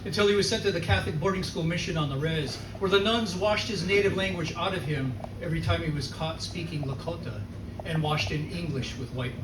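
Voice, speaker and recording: A man's voice: fairly high pitch, far from the mic. Man performing spoken word poetry in an echoey room. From South Dakota, USA. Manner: rhythmic, dramatic delivery with sardonic humor. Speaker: featured poet. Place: poetry reading venue, indoors. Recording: echoey room recorded from afar, noisy.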